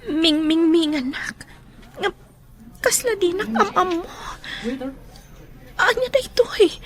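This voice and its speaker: A woman's voice: extremely high pitch, lively intonation, takes it slowly, heavily acted. An actress playing an emotional, longing mother in an Ilocano radio drama. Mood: shaken, longing.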